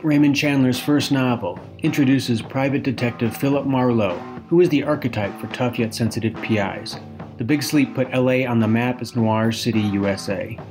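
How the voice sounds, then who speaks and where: A man reading a scripted plot summary smoothly, without fillers. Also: mid-range voice, a little mellow. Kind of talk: scripted narration. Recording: faint music behind.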